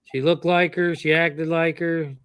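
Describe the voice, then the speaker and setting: Middle-aged host, slightly higher voice, dry close sound, rambling storyteller. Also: male voice, little pitch movement, unhurried, fairly bright tone, clear, resonant tone, no room sound. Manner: rambling storyteller, chuckles often. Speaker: channel host. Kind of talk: casual family chat.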